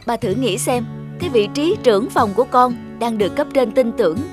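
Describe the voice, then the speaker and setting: A woman's voice: on the high side, lively intonation, fairly quick, natural voice. A woman voicing a self-assured young office-worker son in a cartoon drama, explaining confidently, with music behind. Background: background music.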